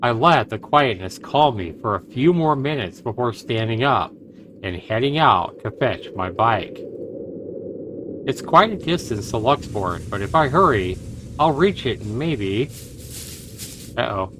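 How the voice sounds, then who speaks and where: A man's voice: mid-range voice, lively intonation, measured pace. A man streaming a visual novel, reading it aloud theatrically and emphatically, with his own commentary. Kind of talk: dramatic game read-aloud with commentary. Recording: noisy home livestream.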